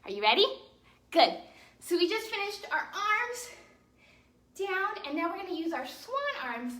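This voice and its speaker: A woman's voice: extremely high pitch, big pitch swings, unhurried, bright, forceful tone. A young woman, a ballet teacher, leads children through a dance in simple, encouraging step-by-step instructions. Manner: encouraging, childlike-simple phrasing, counting out moves. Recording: roomy echo, distant microphone.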